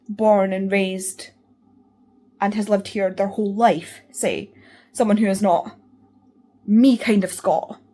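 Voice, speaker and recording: A Scottish woman with a Scottish accent, talking casually and rambling about a book, often correcting herself and filling pauses with "like" and "um". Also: mid-range voice, warm tone. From Scotland. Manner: rambling, self-correcting, many fillers. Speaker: book vlogger. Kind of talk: casual chat.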